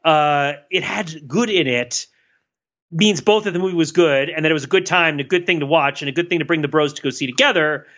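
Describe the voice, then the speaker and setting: A man co-hosting a podcast in casual chat, excitable and talking in quick bursts with many restarts. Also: on the high side. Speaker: podcast co-host. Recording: dull lo-fi mic.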